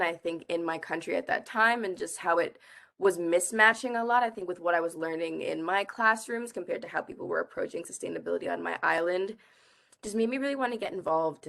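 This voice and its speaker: A woman's voice: on the low side, creaky, a bit bright. Earnest young woman from the Bahamas. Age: young adult. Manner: earnest, frequent "like" and "I think". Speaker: guest, climate activist. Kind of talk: conversational interview answers.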